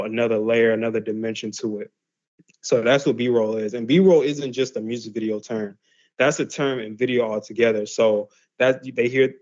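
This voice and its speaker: A man's voice: medium pitch, darkish tone, muffled recording. A man teaching a class in a loose, conversational way, full of fillers and restarts. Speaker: class instructor. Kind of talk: casual explanatory lesson.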